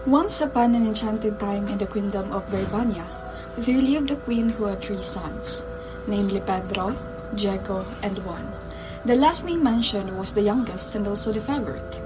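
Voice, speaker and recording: A woman's voice: medium pitch, moderate pace, music in the background, rough recording. A woman narrating a fairy tale in a scripted storybook style.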